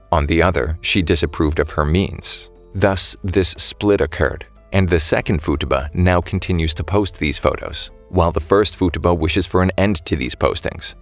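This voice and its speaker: Deep-voiced man narrating over music. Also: fairly bright tone. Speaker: narrator of an anime story recap. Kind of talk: scripted narration.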